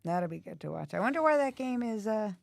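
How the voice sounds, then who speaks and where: Raspy, gravelly woman chatting. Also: mid-range voice, very animated, brisk, no room sound. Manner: chatty, asks many questions. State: hoarse from allergies. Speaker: livestream host. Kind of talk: casual chat watching hockey.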